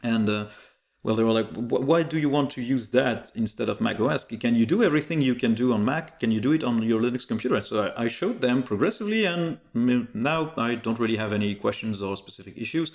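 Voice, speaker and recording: A man's voice: mid-range voice, animated, fairly quick. A man whose first language is French, chatting casually and in easygoing detail as an interview guest. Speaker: interview guest, tech YouTuber.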